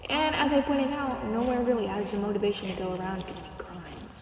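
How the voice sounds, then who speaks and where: A woman's voice: mid-range voice, steady tone, brisk, darkish tone, close to an everyday voice. A woman playing a playful, foul-mouthed undead guide in an audio roleplay. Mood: wry. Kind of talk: audio roleplay acting, conversational. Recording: echoey reverberant space with clear noise.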